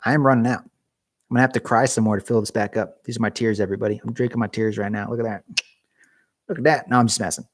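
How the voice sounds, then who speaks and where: A man's voice: fairly low pitch, steady tone, quick pace, no echo. Rambling male streamer, dry garage sound. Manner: rambling, joking asides to viewers. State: losing his voice. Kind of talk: casual live-stream chat.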